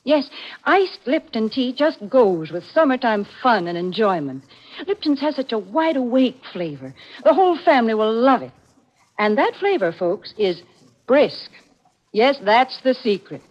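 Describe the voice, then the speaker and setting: A dull vintage radio recording of a woman reading sponsor copy. Her voice swings brightly up and down in a polished, chirpy 1940s sales style. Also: on the high side, sing-song, a little slow. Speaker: sponsor's spokeswoman. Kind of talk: 1940s scripted radio advertising.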